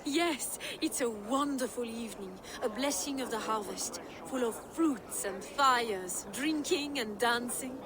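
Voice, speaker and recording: High-voiced, wistful medieval noblewoman played by an actress. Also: unhurried, hardly any pauses, lightly acted. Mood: fond. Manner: poised, poetic, reflective. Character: wistful, worldly Frankish noblewoman, shrewd and weary. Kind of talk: video game cutscene acting. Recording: clear ambient noise behind voice.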